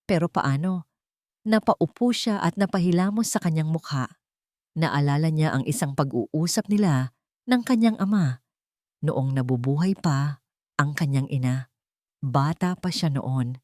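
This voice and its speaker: A woman's voice: low-pitched, expressive, a little slow, long pauses, darkish tone, no echo, clean studio sound. A woman narrating a scripted love story in a dramatic storytelling style.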